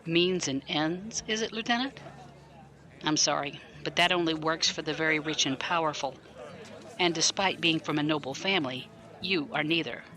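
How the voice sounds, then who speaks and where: Bright, sharp-voiced military defense lawyer. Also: male voice, on the high side, normal speed. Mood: curious, wry. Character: probing, blunt military defense lawyer, wryly skeptical. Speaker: voice actor in audio drama. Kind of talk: science fiction audio drama acting.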